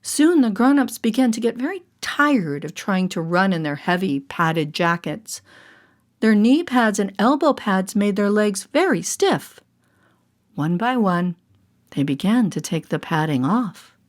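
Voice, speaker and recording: A woman narrating a children's story in a theatrical, deliberate way, with music behind her. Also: medium pitch, big pitch swings. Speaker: storyteller and voice artist.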